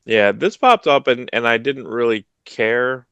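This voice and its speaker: A man's voice: expressive, a little slow, creaky, a bit bright, smooth, no echo, dull audio. A man in his thirties co-hosting a comics podcast from home on a headset, rambling casually with lots of restarts. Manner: rambling, many restarts, low-key. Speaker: podcast co-host. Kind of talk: casual chat about comics news. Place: home room, video call.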